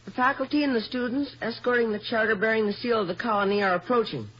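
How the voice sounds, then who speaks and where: A husky, fast-talking student herald. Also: male voice, high voice. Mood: earnest, ceremonious. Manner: formal, recited announcement. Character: earnest young student messenger, stiffly ceremonious. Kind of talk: 1950 American radio comedy acting. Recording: muffled old radio broadcast recording.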